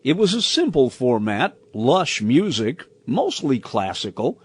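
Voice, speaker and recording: An older American man reading a scripted, nostalgic personal commentary in a deliberate, expressive storytelling manner. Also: medium pitch, breathy edge, no echo. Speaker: radio commentator.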